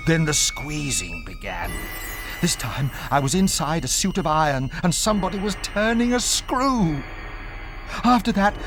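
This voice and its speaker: A performer playing an astonished boy recounting his own transformation, as vivid first-person audiobook narration. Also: mid-range voice. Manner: dramatic, vivid storytelling. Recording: music behind the voice.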